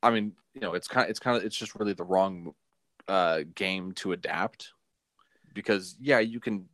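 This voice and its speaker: A man with a very low voice, recorded without room echo. He co-hosts a casual film podcast and speaks haltingly, circling back over his phrases as he works out his point. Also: a bit bright. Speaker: podcast co-host.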